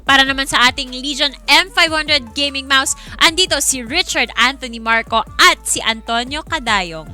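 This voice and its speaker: A woman's voice: high-pitched, moderate pace, few pauses, bright, forceful tone. A woman with a Filipino accent hosting a live esports broadcast in an upbeat, hype presenter style, switching between two languages. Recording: music behind the voice.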